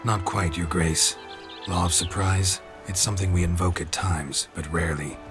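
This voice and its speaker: A deep, gravelly male voice from an actor plays a world-weary game monster hunter. He is stoic and deadpan, speaking in clipped phrases, with music clearly behind him. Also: moderate pace, close to an everyday voice. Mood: businesslike. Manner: laconic, deadpan, clipped sentences. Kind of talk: video game character acting.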